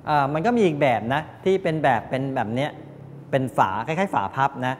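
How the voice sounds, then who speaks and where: An older man giving a classroom lecture as a teacher, explaining in a halting way with frequent polite particles. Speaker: technical college lecturer. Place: medium-sized indoor classroom with students. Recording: reverberant room, clear background noise, low rumble.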